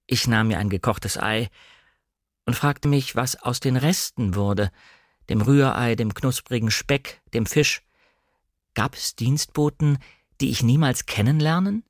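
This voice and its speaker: A man reading a novel aloud as a shy, insecure young woman recalling her memories in an earnest, measured literary delivery. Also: medium pitch, no echo, studio quality, lightly acted. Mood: wondering, uneasy. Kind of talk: first-person audiobook narration of a novel.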